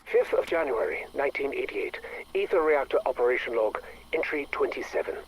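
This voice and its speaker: An actor playing a stern, intense scientist and project director in a video game audio log, speaking formally and precisely. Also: male voice, medium pitch, slightly rough. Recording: faintly noisy recorded audio log.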